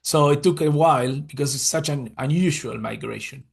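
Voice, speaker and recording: A man's voice: medium pitch, normal speed, creaky, husky. A man with an Argentine Spanish accent, giving a webinar talk over a video call in English, his second language, with many fillers and restarts. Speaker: guest speaker, conservation scientist. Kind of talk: webinar presentation. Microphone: computer mic on a video call.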